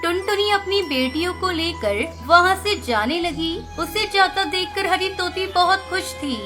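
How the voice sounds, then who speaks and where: A woman's voice: very high-pitched, measured pace. A woman narrating a children's cartoon story in plain storytelling style. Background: background music.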